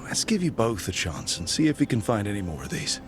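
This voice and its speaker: An actor playing a curious, encouraging adventurer companion in a video game, with an inquisitive, conversational way of talking. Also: male voice, fairly low pitch, wildly swooping pitch, brisk, music in the background. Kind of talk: video game dialogue acting.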